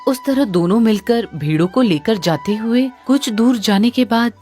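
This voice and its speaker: A woman's voice: a little high, animated, moderate pace, warm tone. A woman reading scripted narration for a children's folk story. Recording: music under the voice.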